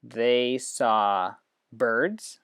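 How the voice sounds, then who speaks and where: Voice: medium pitch, drawn-out words, a bit bright, slight background noise. An educator giving an informal, explanatory demonstration with read-aloud passages. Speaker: educator demonstrating reading apps. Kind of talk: instructional screen demo with read-aloud passages.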